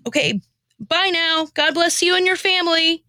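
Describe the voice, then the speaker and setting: Lady playing a jittery sorry woman in a radio comedy, bright voice, dry close sound, hesitant and slangy. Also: very high voice, normal speed, dry recording. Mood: sheepish, sincere. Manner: hesitant, slangy, self-deprecating. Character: nervous, repentant woman setting out to apologize. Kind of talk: amateur comic radio play acting.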